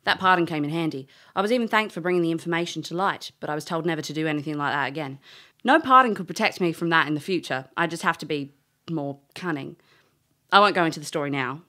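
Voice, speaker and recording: A woman acting a cocky elf troublemaker in an audio drama, with a lowish, bright and forceful voice. She is blunt, informal and hot-headed as she talks and spins her own tale. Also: fast. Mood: casual. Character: brash, cheeky, hot-headed female elf adventurer. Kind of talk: audio drama acting and first-person storytelling.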